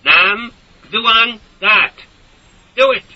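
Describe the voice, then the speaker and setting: A man voicing a volatile, bullying young male soldier who shouts orders in a shrill, husky voice. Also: drawn-out words, dull audio, heavily acted. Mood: shouting.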